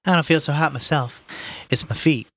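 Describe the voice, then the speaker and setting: A man's voice: a little high, normal speed, fairly bright tone, dry recording, voice pushed up. A man voicing a chatty, sympathetic New Orleans bakery saleswoman in a novel reading, folksy and familiar. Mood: chatty commiserating.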